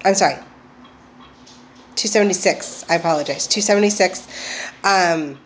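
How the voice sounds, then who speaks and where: Woman vlogger, low-ish voice, halting chatty delivery, recovering from a cold, faint room hiss. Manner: chatty, hesitant, many ums and restarts. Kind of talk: casual personal video diary. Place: at home.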